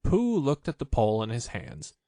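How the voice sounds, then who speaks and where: A man reading a children's story aloud as an expressive audiobook narrator. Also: medium pitch, normal speed, no echo.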